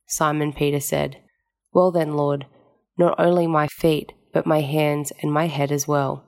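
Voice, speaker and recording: A woman with a very low voice reads scripture aloud from a text. Her delivery is solemn, and her voice carries a lot of gravelly creak. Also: flat delivery, measured pace, a little mellow. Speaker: scripture reader. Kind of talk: solemn scripted Gospel reading.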